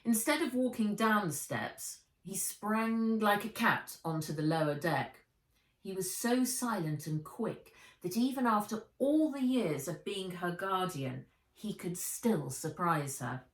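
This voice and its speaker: A woman's voice: mid-range voice, a little slow. A woman reading a children's adventure story aloud as its author, expressively, in a storytelling style. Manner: expressive, acts out the dialogue. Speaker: author reading her own book aloud. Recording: roomy echo, distant from the mic.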